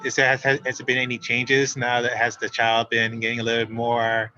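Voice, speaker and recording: A man hosting a webinar panel, asking halting questions with restarts over a video call. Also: mid-range voice, completely flat delivery, sharp tone, muffled recording, slight background noise. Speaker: panel host.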